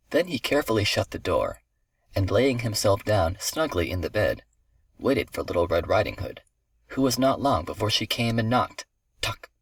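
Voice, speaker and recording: A man's voice: on the low side, husky, no echo. A man reading a scripted fairy tale for children as a storyteller.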